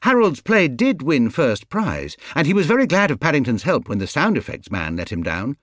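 A man's voice: on the high side, wildly swooping pitch, moderate pace, fairly bright tone, no echo, pitched above the performer's own voice. A British man voicing a well-meaning married woman who is anxious to sound positive in a storybook. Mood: hastily reassuring. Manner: hasty, trying to sound enthusiastic.

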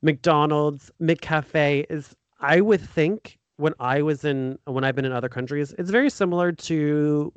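A man's voice: fairly high pitch, measured pace, hardly any pauses, clear, resonant tone, no room sound, dull audio. An American man hosting a podcast, interviewing in a casual, informal and enthusiastic conversational way. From United States. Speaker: podcast host.

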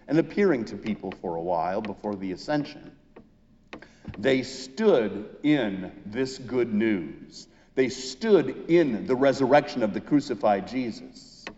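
An American man preaching a sermon as a pastor, in a deliberate, emphatic way with pauses between phrases. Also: mid-range voice, a little mellow. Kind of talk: Easter sermon, measured scripted preaching. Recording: muffled, long church-like reverb.

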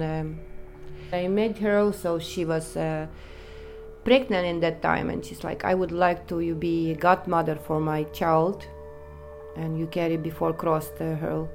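A woman from Ukraine with a Ukrainian accent, telling her own story in halting, broken English with many pauses. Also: fairly low pitch, darkish tone. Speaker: interviewee. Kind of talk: personal testimony in non-native English.

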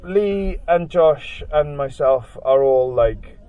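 A man's voice: fairly high pitch, slow, clean tone, phone-quality sound. A young man with a Welsh accent, a band member chatting casually in an interview, rambling with lots of fillers as he tells stories. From Wales. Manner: rambling, many "like" fillers, animated storytelling.

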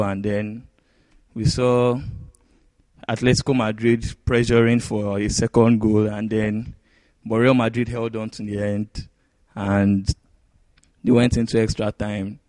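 A man co-hosting a sports podcast, giving match analysis in halting, short phrases. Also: lowish voice, darkish tone, no room sound. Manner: halting, pieces sentences together in short phrases. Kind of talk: sports discussion.

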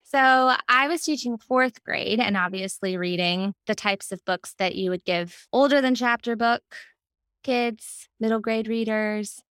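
A woman with an American accent speaking as a podcast guest in an animated, conversational interview style. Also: fairly high pitch, unhurried, clear, resonant tone, no room sound. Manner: animated, quotes self and others in anecdotes. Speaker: podcast guest, children's book editor.

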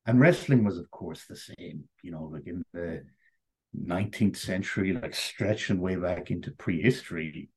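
Low husky Irish man telling a story. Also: normal speed, poor audio. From Ireland. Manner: rambling, full of "you know" and "like". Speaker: interview guest. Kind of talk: casual conversational storytelling.

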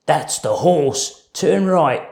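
A man voicing crafty robbers from a children's storybook, plotting in a furtive, deliberate way. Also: fairly high pitch, lively intonation, gravelly, darkish tone, pitched above the performer's own voice, full character voice. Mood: confident, sneaky. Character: two crafty male robbers plotting a cow theft. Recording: roomy, echoing room sound.

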